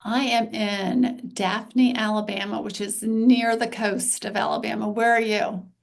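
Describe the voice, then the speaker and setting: A middle-aged woman from Texas chats loosely as a podcast host, drifting between thoughts and restarting sentences. Her voice sits a little high, with a gravelly fry running through it. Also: level tone, a little slow. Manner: rambling, stops and restarts mid-thought. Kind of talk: casual conversation.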